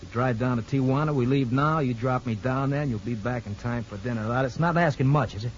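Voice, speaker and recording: A sneering, bullying crook from a 1950s radio play, acted by a man. He needles in slangy, threatening talk with a medium-pitched voice. The old recording is muffled with faint hiss. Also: fast talker, close to an everyday voice. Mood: menacing, insistent. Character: menacing, sneering criminal ex-lover, blackmailing tough guy. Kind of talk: 1950s radio drama acting.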